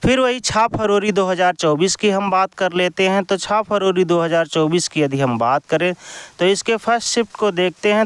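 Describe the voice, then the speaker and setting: A man's voice: high-pitched, a bit bright, clear, resonant tone, no echo. A man giving an informal explainer to viewers, going step by step with repetitive phrasing. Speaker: exam news YouTuber.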